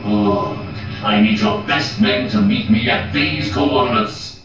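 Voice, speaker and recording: An actor playing an impatient, secretive villain in a video game, speaking in a curt manner. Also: male voice, on the low side, slow, a bit bright. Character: impatient, secretive male villain hiring muscle. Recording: echoey, distant game audio with music.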